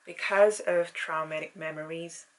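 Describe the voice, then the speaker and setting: A Korean woman in her thirties, a native Korean speaker, reads her personal diary entry in English in a calm, measured way with slightly non-native grammar. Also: sits low, a little hiss or hum, rough recording. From South Korea. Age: 30s.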